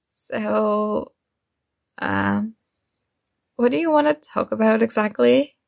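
Shy nerdy female student played by a woman for romantic ASMR roleplay; slow, halting, flustered, with vocal fry. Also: a little high, darkish tone, heavily acted. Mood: hesitant, awkward. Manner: hesitant, awkward, halting.

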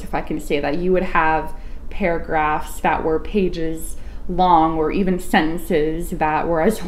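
A woman's voice: lowish voice, slight background noise, poor audio. A young woman in her twenties chatting to camera about a novel, with many ums and you knows. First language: English. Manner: chatty, frequent "um" and "you know", animated hand gestures. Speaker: book vlogger. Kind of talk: casual book review to camera. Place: small room at home, indoors.

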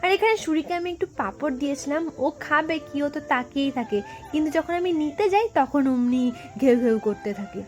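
A woman's voice: high voice, expressive, moderate pace, darkish tone. A young woman narrating a casual family vlog, chatting directly to her viewers. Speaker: vlogger.

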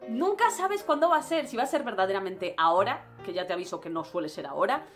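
A young woman with a Spanish accent from Spain, chatting casually to camera as a travel vlogger, animated and expressive. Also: mid-range voice, fast talker, faint music behind. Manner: animated, expressive, gestures a lot. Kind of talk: casual vlog monologue. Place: small room indoors.

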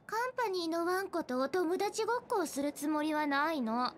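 A woman's voice: extremely high pitch, animated, a little fast, a little hiss or hum. A woman playing a mischievous, taunting young girl trickster in an anime game, with a sweet girlish voice. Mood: scornful. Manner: teasing, mocking, coy. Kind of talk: Japanese anime game voice acting.

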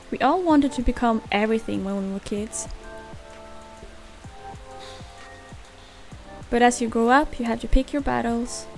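A woman's voice: fairly high pitch, darkish tone, close to an everyday voice. A woman voicing a bubbly, chatty young cat-eared pop singer in a visual novel fan dub. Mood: reflective.

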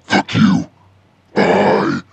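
Man doing a gravelly, foul-mouthed superhero. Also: sits low, completely flat delivery, very slow, heavily acted. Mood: curt, rude. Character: foul-mouthed, cocky, goofy armored male superhero. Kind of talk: comedic game parody dub. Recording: noisy amateur dub with game ambience.

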